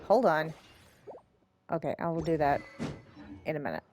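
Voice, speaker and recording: Woman doing casual lets play, lowish voice, rambly n self-deprecating, soft music behind. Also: sing-song, normal speed. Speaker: game streamer, host. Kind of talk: casual let's play commentary.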